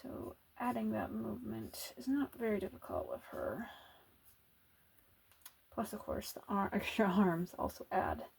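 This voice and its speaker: A woman artist chatting in a soft, relaxed ASMR style while she draws, musing about her work. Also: medium pitch, unhurried, gravelly, slightly rough. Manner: relaxed, musing, self-reflective. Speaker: artist drawing on camera. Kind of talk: soft-spoken ASMR chat while drawing.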